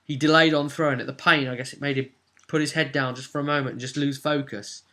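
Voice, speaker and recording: A man's voice: mid-range voice, fairly even intonation, fast, a bit bright. A man chatting casually as a game master, retelling a role-playing session like a story.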